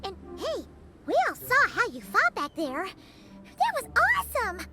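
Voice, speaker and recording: A woman voicing a tiny, chirpy floating girl companion in a video game, with a bubbly, very high voice. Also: huge pitch swings, a little slow, loud music behind the voice, heavily acted. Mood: cheerful.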